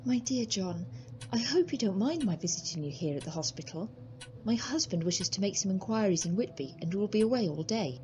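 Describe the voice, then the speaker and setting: An actress playing a polite, curious married lady in a radio drama, formal and gently expressive. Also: medium pitch, fairly quick, dull audio, a little hiss or hum, close to an everyday voice.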